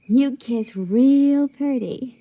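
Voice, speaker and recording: A woman's voice: high voice, extremely slow, no echo, exaggerated voice. An actress playing a naive, earnest young backwoods woman with a rural American hillbilly accent, in 1950s radio drama style. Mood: shy, tender. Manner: folksy, plainspoken, earnest. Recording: dull vintage radio recording.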